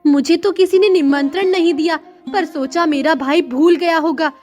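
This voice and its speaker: A humble poor sister from a cartoon fable, acted by a woman, with a high voice. She is earnest, pleading and devoted, over faint music. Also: moderate pace, darkish tone. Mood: meek, hurt. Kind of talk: Hindi moral-story cartoon dialogue.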